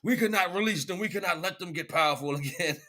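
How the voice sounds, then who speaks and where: A man putting on an indignant, imperious movie god, loudly making grandiose, dramatic proclamations. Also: high voice, quick pace, pitched above the performer's own voice.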